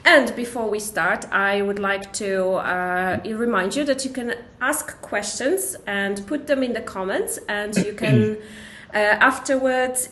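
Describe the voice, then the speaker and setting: A woman whose first language is Polish, hosting an interview in a slow, hesitant way with many fillers. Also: mid-range voice, a bit bright, roomy sound, slight background noise. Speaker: host.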